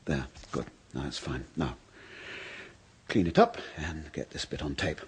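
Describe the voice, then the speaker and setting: An actor playing a frightened freelance writer in a radio horror drama, husky-voiced and agitated, swinging between muttering and shouting. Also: male voice, extremely low pitch, unhurried, slight background noise. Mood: uneasy muttering. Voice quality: husky, breathy. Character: frightened male freelance writer, jittery, unravelling overnight among waxworks. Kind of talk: old-time radio horror drama acting.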